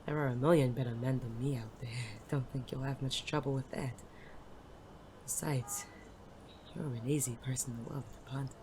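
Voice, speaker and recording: A performer playing a world-weary, self-doubting male private eye and war veteran in an audio drama, gruff, hesitant and prone to swearing. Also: medium pitch, fairly even intonation, normal speed, lightly acted. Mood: resigned, tender. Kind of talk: intimate audio drama dialogue. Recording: clearly noisy background ambience.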